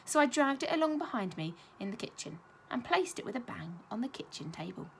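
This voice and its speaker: A young adult woman, a primary school teacher reading a children's novel aloud with expressive storytelling. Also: fairly high pitch, brisk, slight background noise. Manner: expressive storytelling with lively intonation.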